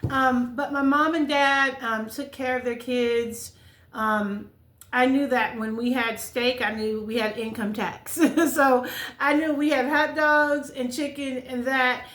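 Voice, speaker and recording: Higher-voiced American woman in her fifties, echoey room. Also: fairly even intonation, takes it slowly, a bit bright. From California, United States. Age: 50s. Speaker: vlogger sharing life stories. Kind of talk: casual personal storytelling to camera. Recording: roomy, echoing sound.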